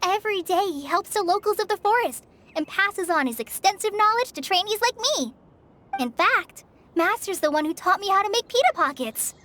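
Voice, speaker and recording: A woman's voice: very high voice, expressive, normal speed, sharp tone, slight background noise, heavily acted. A woman playing an earnest, shy girl training as a forest ranger in a video game, with a light, girlish, hesitant, polite delivery. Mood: chatty, admiring.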